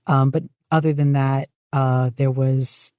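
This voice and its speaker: Soft dark mellow voice, medium pitch, hesitant guest in casual podcast chat, very dry sound. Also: level tone, takes it slowly, smooth, dry recording. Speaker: podcast guest, fan fiction writer and audio narrator.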